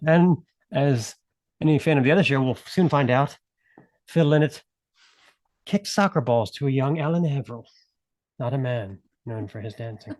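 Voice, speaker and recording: A man's voice: mid-range voice, normal speed, pauses often, dry recording. Chill podcast guy, lots of ums, dry wry jokes, dead dry mic. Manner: relaxed, filler-heavy, wry asides. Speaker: podcast co-host. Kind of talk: casual podcast chat.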